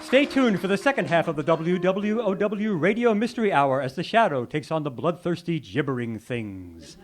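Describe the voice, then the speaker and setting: A man's voice: on the high side, sing-song, moderate pace. A man announcing in a formal, theatrical old-time radio style, reading scripted credits for a show. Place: live stage performance in a theater hall. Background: music.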